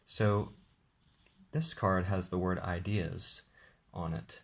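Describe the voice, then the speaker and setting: Man, very low voice, casual game demo, relaxed explainer. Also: slow pace, vocal fry. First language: English. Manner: relaxed, explanatory, with occasional restarts. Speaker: game designer demonstrating his card game. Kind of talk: casual tabletop game demonstration.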